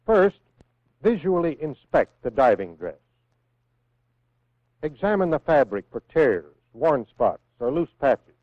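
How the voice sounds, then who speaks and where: An American man reading scripted instructions in a measured, clearly enunciated way, as the narrator of a 1960s military training film. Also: fairly high pitch, sing-song, no room sound. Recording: phone-like vintage 1960s film soundtrack.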